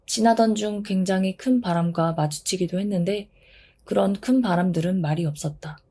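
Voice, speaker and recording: Calm woman reading a storybook. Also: medium pitch, normal speed, slightly rough. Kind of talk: storybook narration.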